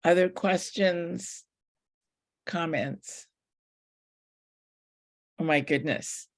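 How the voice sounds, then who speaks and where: A woman's voice: lowish voice, extremely slow. A woman moderating an online meeting informally, politely thanking speakers and handing over to others. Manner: polite, grateful.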